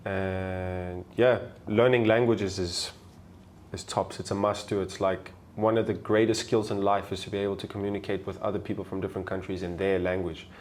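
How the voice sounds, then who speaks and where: A man with a South African accent talks in a slightly low voice, easygoing and slangy. His sentences tend to fade off quietly at the end. It sounds like a casual podcast chat. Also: moderate pace, a little hiss or hum. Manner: relaxed, loose and slangy, often trailing off softly. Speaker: podcast guest.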